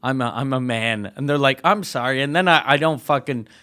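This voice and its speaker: A man with a high-pitched, husky voice, rambling through profane comedic stories as a regular on a show. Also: brisk, pushed and bright. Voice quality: husky, sounds like a lady who smokes. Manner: rambling, profane storytelling with restarts. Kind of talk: casual comedic chat.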